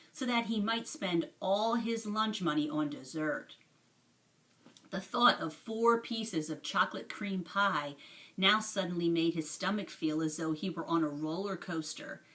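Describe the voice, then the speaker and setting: A woman reading a children's storybook aloud with expressive, careful phrasing, recorded a little away from the microphone. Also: fairly low pitch, recorded from a distance. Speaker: storybook reader. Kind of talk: expressive read-aloud narration of a children's book.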